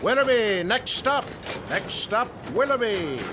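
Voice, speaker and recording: A high, singsong old-time conductor. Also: male voice, expressive, a little slow, noisy background. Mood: calling out. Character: courteous, old-fashioned male train conductor calling stops. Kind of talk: radio drama acting.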